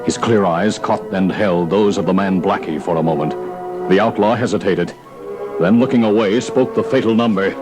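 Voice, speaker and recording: A man's voice: low voice, moderate pace. An American man narrating a vintage radio adventure in a formal, emphatic, theatrical style. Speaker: announcer and narrator. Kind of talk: dramatic 1940s-50s radio narration. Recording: old radio recording with loud music. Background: loud music.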